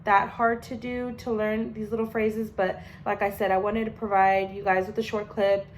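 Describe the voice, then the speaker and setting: A woman's voice: mid-range voice, little pitch movement, normal speed, a little hiss or hum, poor audio. Chatty young woman talking through a project. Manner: chatty, full of fillers and restarts. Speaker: language student. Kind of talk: casual spoken class presentation.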